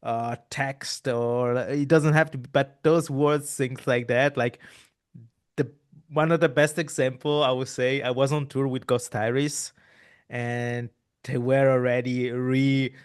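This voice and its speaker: Guy hosting a music podcast, rambles and restarts a lot, average pitch. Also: flat delivery, measured pace, clear, resonant tone. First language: Italian. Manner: rambling, with many restarts and repeated words. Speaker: podcast host. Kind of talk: casual interview chat.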